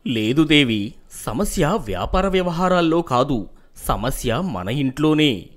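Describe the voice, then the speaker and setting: Guy doing a grave traditional landlord dad in a moral cartoon, expressive pitch swings. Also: measured pace. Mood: troubled. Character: grave, worried landlord father, strict traditionalist. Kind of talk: animated moral story dialogue.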